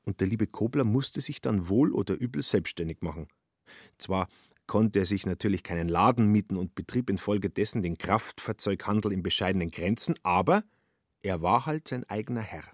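A man narrating a literary radio drama in a measured, wry storytelling manner. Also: lowish voice, expressive, no echo, studio quality.

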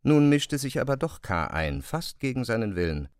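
A man's voice: medium pitch, very animated, normal speed, clear, resonant tone, no room sound, clean studio sound. A man narrating a classic novel as scripted literary prose.

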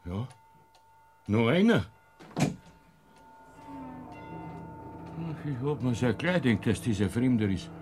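An actor in a classic radio play playing a stubborn, crafty old Bavarian man who talks gruffly and coaxes cheerfully. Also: medium pitch, lively intonation, moderate pace, noisy background, lightly acted. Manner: gruff, then jovially coaxing.

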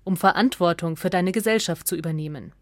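A woman reading a scripted radio essay as narrator, in a measured, factual and articulate way. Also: fairly low pitch, dry recording, studio quality.

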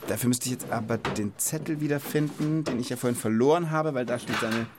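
A man's voice: mid-range voice, fairly quick, noisy background. A man hosting a children's podcast in a casual, playful and informal chatting style. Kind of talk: casual, scripted-feeling podcast chat.

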